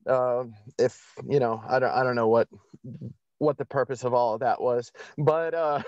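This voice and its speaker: A man's voice: mid-range voice, fairly even intonation, moderate pace, darkish tone, no echo. A man speaking as a podcast guest, telling and explaining things in a conversational, emphatic way and often correcting himself. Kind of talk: conversational interview storytelling. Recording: slightly dull lo-fi audio.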